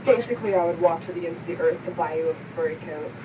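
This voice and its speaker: Casting: woman as self-satisfied, ripped flying male creature, boastful comedy suitor, low register, noisy recording. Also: fast talker, close to an everyday voice. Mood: cocky. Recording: clear vehicle-like background noise.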